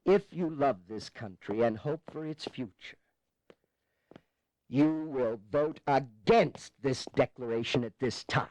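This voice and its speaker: A man's voice: medium pitch, slow, darkish tone, no echo, lightly acted, low-quality recording. An actor playing an earnest colonial statesman in an audio history drama, delivering formal, emphatic oratory that urges caution. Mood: grave, appealing. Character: earnest colonial statesman passionately urging caution against independence.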